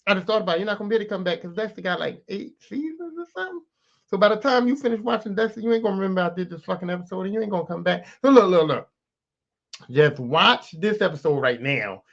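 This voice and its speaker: Raspy, profane podcast man. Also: sits high, fast, dull audio. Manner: profane, animated, talks straight to listeners. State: raspy, clearing throat. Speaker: podcast host. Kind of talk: casual solo podcast rant.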